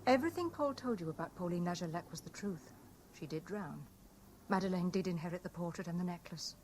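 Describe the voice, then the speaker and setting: A woman's voice: lowish voice, animated, fairly quick, darkish tone, natural voice. An actress playing a mistress confessing her part in a murder plot, with theatrical 1970s radio drama delivery. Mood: cool, matter-of-fact. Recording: noisy old radio broadcast recording.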